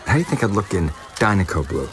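A cocky young race car. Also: male voice, fairly low pitch, sing-song, fast. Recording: film audio with loud music.

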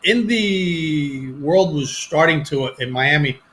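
A man with a Cuban accent talks through his career over a video call on a headset. His voice is bright and a touch high, and he tells his stories in an animated way, breaking off and restarting sentences as he goes. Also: slow. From Cuban family. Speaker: actor, interview guest. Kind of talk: casual storytelling interview. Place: home room, video call.

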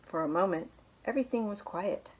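A woman in her thirties, a children's librarian, reading a picture book aloud at home in a small living room, gently and with soft hushed asides. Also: medium pitch, a little fast, breathy edge, low-quality recording.

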